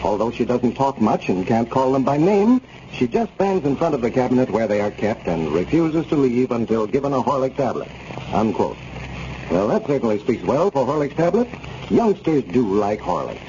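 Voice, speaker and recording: A male radio announcer delivering a scripted sponsor announcement in a smooth, polished style. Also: mid-range voice, dark tone, a little hiss or hum. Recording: muffled 1930s radio broadcast.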